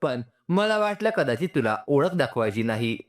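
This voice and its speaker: A man's voice: medium pitch, sing-song, normal speed, natural voice. A man voicing a polite, friendly young man in a story, casual and sincere, mixing in English words. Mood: sincere, apologetic.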